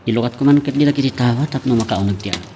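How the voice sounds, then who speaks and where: A man's voice: mid-range voice, racing, noisy background, close to an everyday voice. A man with an Ilocano accent acting a hesitant, lovesick young employee in an amateur audio drama. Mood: uneasy. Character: hesitant lovesick young male employee, unsure with his boss.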